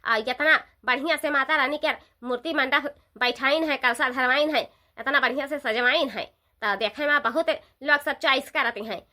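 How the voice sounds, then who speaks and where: A woman's voice: sits high, moderate pace, bright, forceful tone. A woman voicing a bossy, gossipy village sister-in-law in a comedy cartoon, emphatic and repetitive with an Awadhi accent. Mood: urging, eager.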